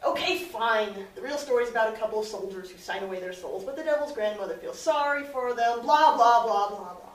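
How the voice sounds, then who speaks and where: A woman's voice: a little high, a little fast, few pauses. A woman narrating in a mock-dramatic, theatrical storytelling style. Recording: echoey noisy room, distant mic.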